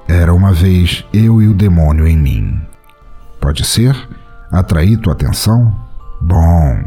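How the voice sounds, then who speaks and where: Deep theatrical Brazilian storyteller, low voice. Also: male voice, mellow, breathy edge. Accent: Brazilian Portuguese. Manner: theatrical, playful, with lingering pauses. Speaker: podcast host and story reader. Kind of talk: dramatic reading of a short story.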